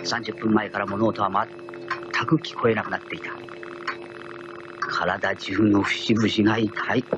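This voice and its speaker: An actor playing a cocky, proud gentleman thief, narrating his own exploits theatrically in a radio drama. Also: on the low side, flat delivery, a little slow, natural voice. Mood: shrewd, composed. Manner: theatrical, self-assured storytelling. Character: cocky gentleman thief, proud self-styled genius, wry. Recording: dull lo-fi archive radio recording, loud music.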